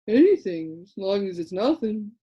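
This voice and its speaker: A woman's voice: mid-range voice, big pitch swings, dark tone, slightly rough, lo-fi sound. A woman voicing a crowd of tiny, drowsy creatures who drag out every word as if half asleep, in an audiobook. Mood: drowsy droning. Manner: slow, drawn-out, yawning delivery. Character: drowsy tiny color-changing creatures, droning and sleepy. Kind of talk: audiobook character voice.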